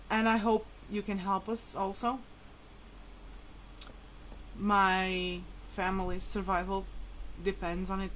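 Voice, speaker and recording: A woman's voice: mid-range voice, a bit bright, slight background noise, rough recording. A woman whose mother tongue is Portuguese, talking casually and hesitantly to viewers in a rambling, informal vlog. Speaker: vlogger.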